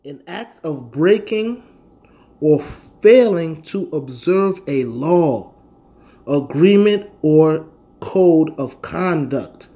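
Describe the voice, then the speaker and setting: Slow, emphatic American man preaching. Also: on the high side, expressive, mellow. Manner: confrontational, slangy, emphatic, repeating phrases for stress. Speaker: street-style preacher and Bible teacher. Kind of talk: fervent informal sermon with scripture reading.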